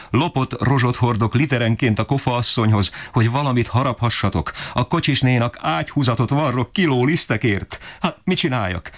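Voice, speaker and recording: A man's voice: deep voice, pitched above the performer's own voice. A man voicing a weary, crying poor mother who pleads and complains.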